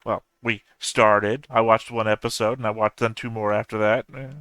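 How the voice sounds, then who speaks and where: A man's voice: fairly low pitch, flat delivery, moderate pace, fairly bright tone, clean tone, no echo. A man in his thirties hosting an anime reaction channel, talking off the cuff with dry humor and frequent self-corrections. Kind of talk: casual rambling commentary.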